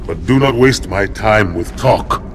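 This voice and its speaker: An actor playing a proud, devout alien warrior in a video game, stern and defiant, with a gravelly voice. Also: male voice, measured pace, close to an everyday voice. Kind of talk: video game cutscene acting. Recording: music and rumble behind.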